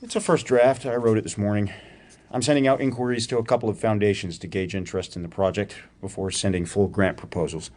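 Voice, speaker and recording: An actor playing a wry, probing academic research professor in a radio drama, with a dryly sardonic, precise way of talking. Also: male voice, fairly low pitch, fairly even intonation, fast, darkish tone, close to an everyday voice. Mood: serious, exacting. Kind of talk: radio theatre acting, dramatic dialogue.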